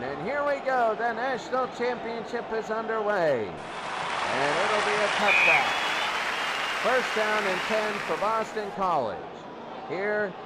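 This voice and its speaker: An American man doing play-by-play football commentary as the announcer. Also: sits high. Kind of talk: sports commentary. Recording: very noisy amateur broadcast. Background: loud ambient noise.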